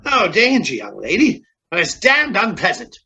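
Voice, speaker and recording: A man playing an irascible, scornful gentleman in a radio drama, sputtering and exclaiming as he blusters. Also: sits high, wildly swooping pitch, unhurried, exaggerated voice. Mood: scornful grumbling. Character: irascible, blustering male visitor trapped in a coal mine. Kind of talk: stage-like radio drama acting.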